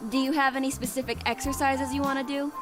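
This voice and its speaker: High-voiced athletic young anime heroine, played by a woman. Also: level tone, fast, soft background music, natural voice. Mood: calm, focused. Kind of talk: visual novel voice acting.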